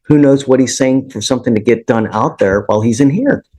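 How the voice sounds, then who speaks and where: A man's voice: mid-range voice, a little fast, warm tone. A man presiding as a judge in a courtroom, questioning plainly and informally, sometimes repeating himself. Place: indoor courtroom. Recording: courtroom audio.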